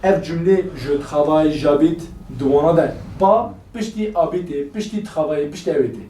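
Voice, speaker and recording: A man's voice: fairly high pitch, normal speed, mellow. A man teaching French in a classroom, drilling phrases with students and switching between languages as he explains. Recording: echoey noisy classroom, distant mic.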